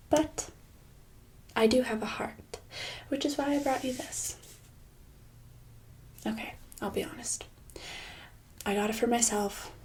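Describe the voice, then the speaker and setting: Slow, soft-spoken smug female boss played by a woman. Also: on the high side, background noise. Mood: smug, controlling. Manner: condescending, mock-sweet, drawn-out soft delivery. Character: smug, controlling female boss holding an employee captive. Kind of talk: soft-spoken ASMR roleplay.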